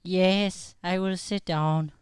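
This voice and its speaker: A man's voice: sits high, steady tone, slow pace, clear, resonant tone, dry recording, clean studio sound. A man playing an anxious, polite new schoolboy who stammers apologetically in an improvised radio comedy. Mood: polite, unsure. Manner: hesitant, nervous, stammering.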